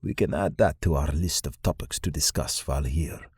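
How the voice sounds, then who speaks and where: A man playing a stately male vampire lord in an audiobook, with a formal, measured, commanding manner. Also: a bass voice, a little mellow, breathy edge, no room sound, clean studio sound, full character voice. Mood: gracious, measured.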